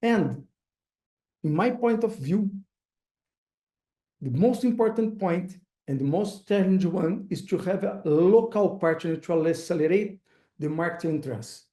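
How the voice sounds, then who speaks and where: A man with a Brazilian accent, Portuguese as his first language, giving a webinar talk as an investor, halting and pausing often with fillers. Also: high-pitched, warm tone, roomy sound. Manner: halting, searching for words, frequent uh fillers. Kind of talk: webinar presentation.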